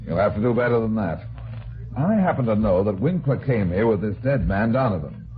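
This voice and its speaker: A man's voice: fairly low pitch, big pitch swings, a little fast. A man playing a tough, sardonic, wisecracking private detective in a 1950s radio drama. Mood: tough, accusing. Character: hard-boiled, sardonic male private detective. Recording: noisy, tinny vintage radio broadcast recording.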